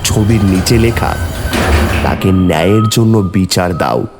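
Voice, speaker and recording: A man's voice: deep voice, measured pace. A man playing an earnest, brave young hero who tells and acts out a horror cartoon story. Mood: eerie, suspenseful. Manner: dramatic, earnest first-person storytelling. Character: brave, earnest young man seeking his dead lover. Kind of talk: horror cartoon narration and dialogue. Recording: music behind the voice.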